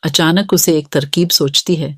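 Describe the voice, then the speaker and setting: A woman's voice: sits low, fairly even intonation, moderate pace, a bit bright, husky, no room sound, clean studio sound. A woman reading scripted narration for a children's moral story as the narrator.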